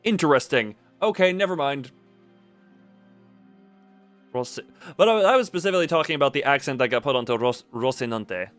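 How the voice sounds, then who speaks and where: A man's voice: mid-range voice, animated, fairly quick, pauses often, a bit bright, faint music behind. A man streaming a game with casual live commentary, rambling and correcting himself as he goes.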